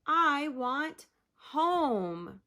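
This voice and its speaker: A woman's voice: high-pitched, sing-song, takes it slowly, pushed and bright, pitched above the performer's own voice, full character voice. A woman doing a small, plaintive penguin chick. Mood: wailing. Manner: plaintive, wide-eyed. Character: lost little female penguin chick, earnest.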